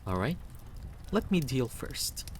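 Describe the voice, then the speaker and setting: Chatty fantasy game traveler, acted by a man, playful and inquisitive, pitched up from a low register, noisy home recording. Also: big pitch swings, slow. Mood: eager, playful. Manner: eager, playful, questioning. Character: Jean, chatty inquisitive young tavern traveler.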